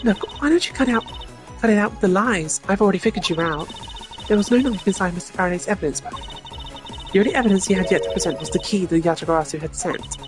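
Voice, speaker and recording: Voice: medium pitch, fast talker, over music, pitched above the performer's own voice, lightly acted. A streamer voicing a smug, mocking young woman suspect from a video game, teasing, dismissive and theatrical. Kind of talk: video game character voice acting.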